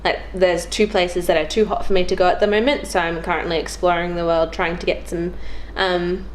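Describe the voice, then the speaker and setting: A woman's voice: lowish voice, little pitch movement, brisk. Young woman chatting casually, noisy recording. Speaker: guest. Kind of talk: casual chat about video games. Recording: clearly noisy background.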